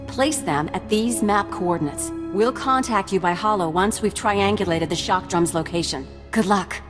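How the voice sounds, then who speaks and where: A woman's voice: medium pitch, moderate pace, faint music behind, natural voice. An actress playing an earnest female mission briefer in a science fiction video game, speaking in a serious, instructive way. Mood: urgent, serious. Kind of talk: video game cutscene acting.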